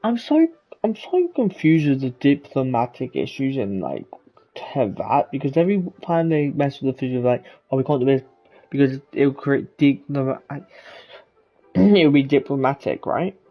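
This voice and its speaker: A man giving casual gameplay commentary as a streamer, halting and rambling as he repeats himself. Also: mid-range voice, sing-song, muffled recording. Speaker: game streamer.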